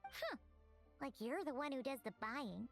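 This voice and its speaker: A woman voicing a tiny, cheeky, childlike fairy companion in a video game, with a squeaky, exclamatory delivery. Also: very animated, unhurried, faint music behind, heavily acted. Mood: cheeky surprise. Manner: speaks of self in third person, exclamatory. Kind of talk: video game character acting.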